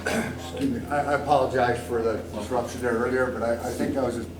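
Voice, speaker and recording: A man's voice: medium pitch, steady tone, fast, recorded from a distance. A Midwestern American man with a mid-range voice speaks off the cuff at a public meeting. The room is echoey and noisy, and the microphone is some way off. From Iowa, United States. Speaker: resident speaking at public comment. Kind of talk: unscripted public remarks.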